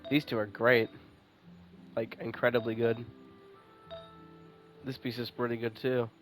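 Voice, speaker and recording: A man's voice: medium pitch, level tone, a little slow, soft background music. A young man giving informal, rambling commentary as he plays a video game. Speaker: game streamer. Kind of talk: casual gameplay commentary.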